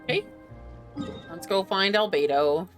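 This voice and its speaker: A woman's voice: medium pitch, expressive, measured pace. A woman game streamer giving playful commentary and reading dialogue aloud as she plays. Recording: game music behind.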